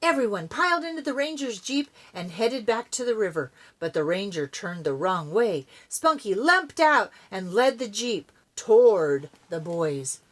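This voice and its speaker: An older woman, a grandmother, reading a children's story aloud in an animated, dramatic way. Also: mid-range voice, measured pace, few pauses.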